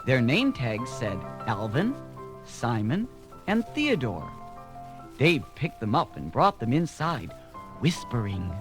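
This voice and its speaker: A man's voice: medium pitch, very animated, takes it slowly. A man narrating a children's read-along story from a script. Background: music.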